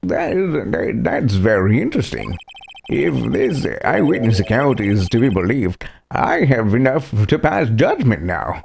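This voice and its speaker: Man playing a creaky, halting old judge. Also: medium pitch, huge pitch swings, darkish tone, slight background noise, full character voice. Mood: hesitant, pondering. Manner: halting, stammering, formal. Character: doddering elderly male courtroom judge, hesitant and stern. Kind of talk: amateur voicing of a courtroom video game.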